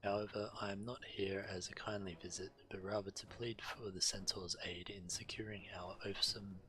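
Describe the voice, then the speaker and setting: Deep, bright-voiced man reading aloud. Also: flat delivery, moderate pace, poor audio. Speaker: game streamer. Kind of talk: reading quest text aloud during a game stream. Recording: game music behind the voice. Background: video game music.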